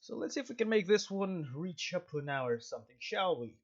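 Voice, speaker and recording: A man's voice: high-pitched, sing-song, a little fast, dull audio. A man giving casual, rambling livestream commentary on a game, often correcting himself as he talks into a headset microphone. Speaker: game streamer.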